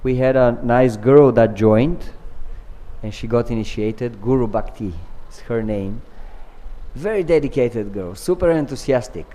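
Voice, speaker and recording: A man from Bulgaria, giving an earnest devotional scripture class and telling stories with pauses and restarts. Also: mid-range voice, warm tone. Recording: long reverb of a large hall.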